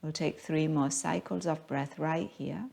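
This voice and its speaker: A woman guiding a yoga class as the teacher, speaking in a gentle, unhurried, soothing manner. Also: low-pitched, steady tone, gravelly. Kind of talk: guided yoga class instruction.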